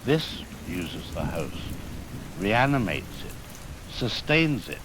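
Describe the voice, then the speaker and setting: An actor playing a grave, erudite virologist in a radio drama, speaking formally and deliberately with weighty pauses and cold fatalism. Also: male voice, sits low, sing-song, heavily acted. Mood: cold, grim. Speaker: expert guest. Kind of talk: scripted radio drama interview. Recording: hiss, rain and thunder noise. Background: rain, thunder, hiss.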